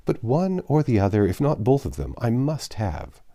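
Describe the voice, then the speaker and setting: A man's voice: mid-range voice, sing-song, normal speed, warm tone, pitched above the performer's own voice. A man voicing a bustling, talkative older widow who chatters effusively.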